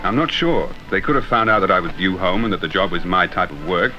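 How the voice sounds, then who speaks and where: An actor playing a confident, commanding secret agent hero in a 1960s radio drama, brisk and decisive as he explains things. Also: sits low, animated. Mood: decisive, urgent. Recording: dull 1960s radio recording with music.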